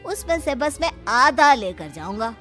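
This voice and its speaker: A woman's voice: sits high, very animated, a little slow, sharp tone, exaggerated voice. A woman voicing a shrewd, taunting middle-aged father-in-law in a cartoon story. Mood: gloating. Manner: taunting, scolding. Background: clear background music.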